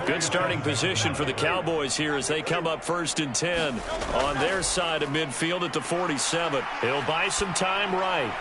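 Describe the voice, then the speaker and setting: A man playing an excitable American play-by-play football announcer in a video game, with a polished, dramatic broadcast delivery. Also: high voice. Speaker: play-by-play commentator. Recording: game audio under loud stadium noise. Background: stadium noise from a football video game.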